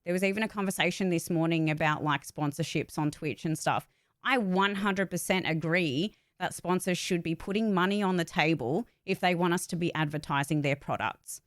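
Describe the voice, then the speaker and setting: Female vlogger, slightly low register, dry studio-like sound; opinionated, informal delivery, blunt and unafraid to swear. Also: normal speed, no echo. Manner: emphatic, candid, sweary. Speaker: vlogger, actor and voice-over artist. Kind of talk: casual opinionated talk to viewers.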